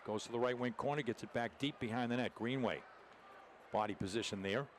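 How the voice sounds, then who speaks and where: A man's voice: medium pitch, a little fast. Male hockey play-by-play caller, noisy rink. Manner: clipped, running description of the action. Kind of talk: live sports commentary. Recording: noisy arena broadcast, rink ambience behind.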